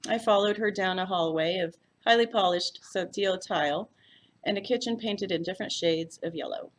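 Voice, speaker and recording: A woman's voice: mid-range voice, moderate pace. A woman reading a first-person mystery story aloud as a volunteer narrator. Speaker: volunteer audiobook reader.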